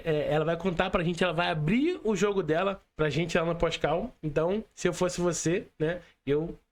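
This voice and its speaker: A man with a Carioca Brazilian Portuguese accent hosts a casual podcast interview. His voice sits high and has a gravelly, fried texture, and he talks in a loose, slangy way, leaning on fillers like "né" and "mano". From Rio de Janeiro, Brazil. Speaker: podcast host. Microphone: remote call.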